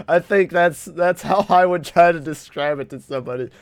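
A man's voice: a little high, clear, resonant tone, dry recording. A man hosting a casual podcast, rambling and swearing freely, often stumbling over his words and restarting. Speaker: podcast host. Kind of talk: casual banter.

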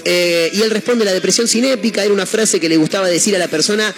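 A man with an Argentine accent hosting a casual radio show from a studio, chatting and reading listener messages, with a halting manner full of fillers and self-corrections. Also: high voice, vocal fry, fairly bright tone, soft background music. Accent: Argentine Spanish. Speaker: radio host.

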